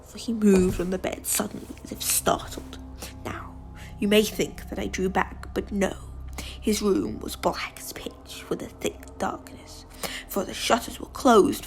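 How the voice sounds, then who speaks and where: A woman's voice: medium pitch, unhurried. Deranged gothic murderer played by a woman, theatrical and gloating, slipping into whispers, music underneath. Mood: creeping suspense. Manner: theatrical, often dropping into breathy whispers. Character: deranged, gloating murderer confessing while insisting on sanity. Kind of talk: dramatic gothic story reading.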